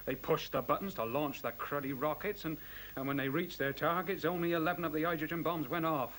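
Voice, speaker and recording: A man's voice: fairly high pitch, a little fast, fairly bright tone, close to an everyday voice, poor audio. An actor playing an agitated, cynical young man in a radio play, stammering, slangy and argumentative. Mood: earnest, puzzled. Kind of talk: radio drama acting. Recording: hissy old radio play recording.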